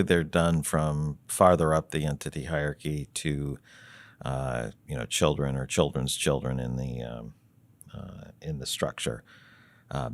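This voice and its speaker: A man with an extremely low, deep voice talks through a business topic in a measured way, pausing often and restarting as he thinks. The sound is dry and close, with no room behind him. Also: no room sound. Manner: measured, thinking aloud with many fillers and restarts. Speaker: treasury consultant guest. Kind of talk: explanatory podcast conversation.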